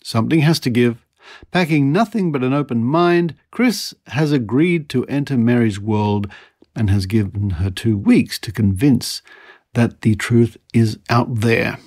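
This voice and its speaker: A man hosting a podcast, reading articles aloud and commenting in a measured, wry manner. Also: mid-range voice, a little mellow, husky, dry recording, clean studio sound. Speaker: podcast host.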